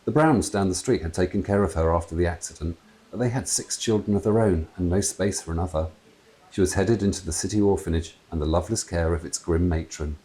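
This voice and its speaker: A man reads a children's story aloud in an expressive, deliberate storytelling manner. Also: deep voice, low-quality recording. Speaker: children's author reading aloud. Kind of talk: dramatic storybook narration. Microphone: clip-on lapel mic.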